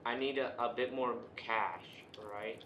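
A man's voice: medium pitch, steady tone, a little slow, fairly bright tone, muffled recording. A man playing a video game, chatting casually and jokingly talking to the characters in it. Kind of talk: casual co-op gameplay chat. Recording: roomy echo, background noise.

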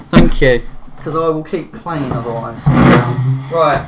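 A man's voice: fairly high pitch, very noisy. A middle-aged man streaming from a dim small room, chatting casually and rambling, his voice a bit strained after singing. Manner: rambling, restarts, chatty, plays acoustic guitar while talking. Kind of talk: casual chat. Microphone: webcam framing at desk.

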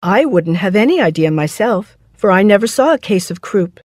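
A woman voicing a stern but indulgent older guardian, measured and matter-of-fact, in an animated children's story. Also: mid-range voice, no echo, soft background music, natural voice. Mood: approving.

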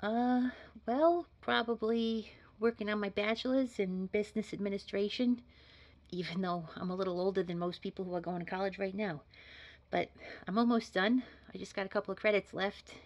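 A woman's voice: medium pitch, steady tone, moderate pace, lightly acted. A woman playing an earnest, modest job candidate in an audio drama, talking in a chatty, hesitant, polite way. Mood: chatty, sheepish. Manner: hesitant, rambling, informal, polite. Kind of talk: naturalistic scripted audio drama dialogue.

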